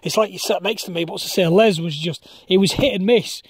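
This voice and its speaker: A man's voice: sits high, a little fast, breathy edge, no room sound. A man with a Northern English accent, chatting casually and colloquially outdoors as an amateur radio operator. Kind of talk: casual chat over ham radio. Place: outdoors on a hilltop common.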